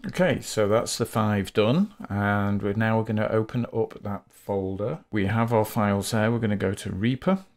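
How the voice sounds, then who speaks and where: A man explaining a software workflow step by step in a methodical, unscripted tutorial manner. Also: on the low side, moderate pace, hardly any pauses. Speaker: tutorial host, game developer.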